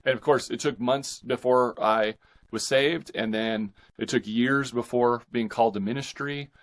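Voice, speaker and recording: An American man in his forties chatting casually as an interview guest, thinking aloud in a halting, enthusiastic way. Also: mid-range voice, steady tone. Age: 40s.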